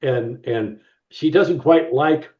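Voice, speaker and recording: A middle-aged man, an actor, chatting casually in an interview and often repeating words as he gets to his point. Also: on the high side. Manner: halting, repeats words as he talks. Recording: roomy, distant, dull call audio.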